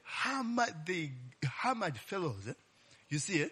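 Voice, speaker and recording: A male preacher teaches from scripture in a loose, conversational way. His voice is bright and slightly high, swinging widely in pitch as he tosses "eh?" and "Hallelujah" to the congregation. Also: big pitch swings, a little slow, slightly rough. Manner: call-and-response, frequent "eh?" tag questions; exclaims "Glory be to God" and "Hallelujah". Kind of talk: casual Bible teaching sermon.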